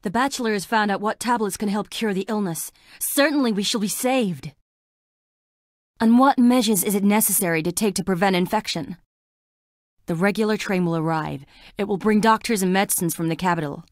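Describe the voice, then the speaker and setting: A woman's voice: medium pitch, brisk, dry recording, clean studio sound, lightly acted. An actress playing a frail, melancholy young woman in a plague-stricken town, delivering dramatic video game lines in a wistful, languid, theatrical way. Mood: earnest, uneasy.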